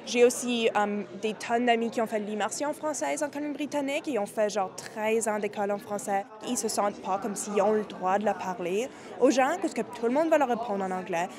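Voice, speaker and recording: A woman's voice: mid-range voice, fairly quick, hardly any pauses. A young woman speaking casually, with filler words, about her friends. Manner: casual, uses "genre" and "comme". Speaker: interviewee. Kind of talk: casual testimony. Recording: crowd chatter behind.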